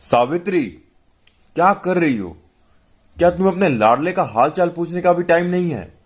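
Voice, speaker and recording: A man's voice: medium pitch, expressive, normal speed, voice pushed up, lightly acted. A man voicing an angry, domineering wealthy businessman father who scolds and blusters in indignation, in a narrated story. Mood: angry shouting.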